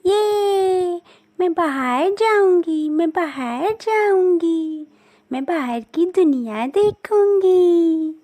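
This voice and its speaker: A woman voicing a small, innocent, excitable young daughter bird in a cartoon story, childish and wide-eyed. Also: very high voice, very slow, exaggerated voice. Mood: excited. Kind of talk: cartoon story character acting.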